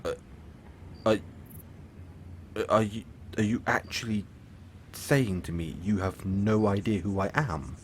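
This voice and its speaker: Man performing a pompous lovestruck villain, audio roleplay, deep-leaning voice, very slow and drawn-out, grandiloquent, vain. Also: slight background noise. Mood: indignant disbelief. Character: flamboyant, smug male villain, lovestruck and vain. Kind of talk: audio roleplay acting addressed to the listener.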